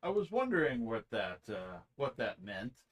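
A man hosting a podcast, asking easygoing, halting questions and laughing often. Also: mid-range voice, big pitch swings. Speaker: podcast host. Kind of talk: casual interview chat.

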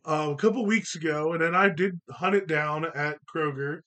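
A man's voice: a little high, normal speed, sharp tone. A man hosting a podcast, leading a casual, jokey chat with friends. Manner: jokey and upbeat, leads the talk. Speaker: podcast host.

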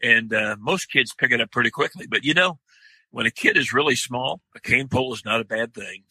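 An older man with a deep, loud voice, talking slowly in a podcast interview with many pauses and restarts. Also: slightly rough, dry recording, rough recording. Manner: slow, halting, many fillers and restarts. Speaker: guest, outdoor writer.

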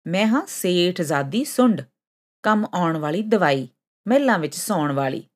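A woman's voice: fairly low pitch, lively intonation, normal speed. A woman voicing a haughty, hot-tempered young female ginger-root character in a folk tale, scolding and boasting indignantly. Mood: haughty anger.

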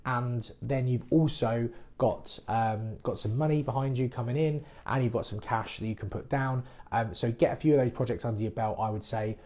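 A man talks through listener questions on his podcast in a relaxed, chatty manner, explaining things plainly. His voice is warm and mellow, pitched in an ordinary middle range. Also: normal speed. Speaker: mortgage broker and podcast host. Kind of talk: casual live Q&A podcast. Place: based in the Midlands.

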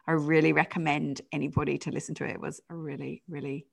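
A woman's voice: sits low, flat delivery, fast, gravelly, breathy edge. A middle-aged woman with a British accent, talking conversationally as a podcast guest, with frequent fillers and self-corrections. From United Kingdom. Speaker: guest, change management consultant. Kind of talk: podcast interview.

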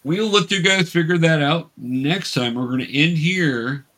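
Man game master, halting and patient. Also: fairly high pitch, fairly bright tone. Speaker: game master and show host. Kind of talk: casual explaining while running a tabletop game. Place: remote video call.

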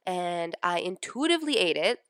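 A woman's voice: lowish voice, very animated, moderate pace, fairly bright tone, dry recording, studio quality. A young woman in her twenties with a stuffy nose, hosting her own podcast in a casual, chatty way, full of "like" and "um". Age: 20s. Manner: chatty, lots of "like" and "um". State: stuffy nose from a sinus infection. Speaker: podcast host. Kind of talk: casual personal podcast chat.

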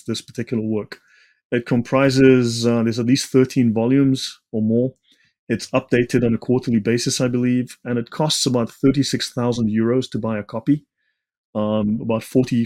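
A man's voice: medium pitch, a little mellow. A man speaking as an interview guest, giving explanatory commentary in an assertive, didactic way with emphatic points. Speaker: interview guest, religion researcher.

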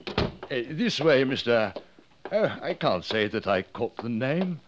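A man's voice: mid-range voice, sing-song, takes it slowly. A genial clergyman welcomes his visitor in a kindly, flustered way, his voice rising and falling freely. The recording is dull and lo-fi, with a faint hiss underneath. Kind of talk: 1950s radio drama acting.

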